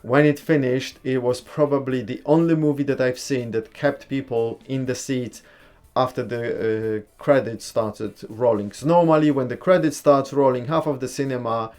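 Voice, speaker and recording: A man chats casually with viewers on a live stream, reading their comments aloud and answering them one by one. His voice sits at an ordinary middle pitch, and he talks in a relaxed, easygoing way with frank, blunt opinions. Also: normal speed, hardly any pauses. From Poland. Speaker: livestream host.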